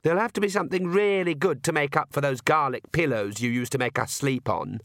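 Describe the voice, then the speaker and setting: A man's voice: fairly high pitch, fairly quick, fairly bright tone, no echo, clean studio sound, pitched above the performer's own voice. A man voicing a bratty, cruel teenage vampire daughter in a storybook, sulky and scornful. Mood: weary, sulky.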